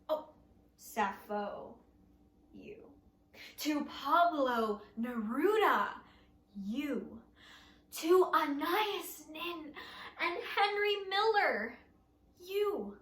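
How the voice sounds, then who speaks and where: A woman's voice: very high-pitched, big pitch swings, extremely slow, pauses often, pushed and bright, reverberant. A woman who is a writer, performing a spoken word poem with a theatrical, emotional and mocking delivery. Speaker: poet, writer.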